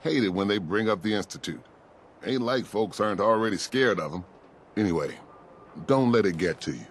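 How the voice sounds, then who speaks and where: An actor playing a kindly, folksy American pastor in a video game, sympathetic in manner. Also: male voice, lowish voice, lively intonation, a little fast, a little hiss or hum, natural voice. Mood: friendly. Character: kindly, folksy male small-town pastor. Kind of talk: video game dialogue acting. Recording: voice over game music.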